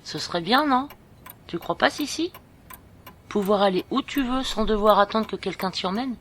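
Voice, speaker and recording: A woman plays a young female friend in an audio drama, with a medium-pitched voice. She is blunt, informal and encouraging, coaxing with bossy care over faint background noise. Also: expressive, moderate pace, a bit bright, natural voice. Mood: firm. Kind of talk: amateur French audio fiction dialogue.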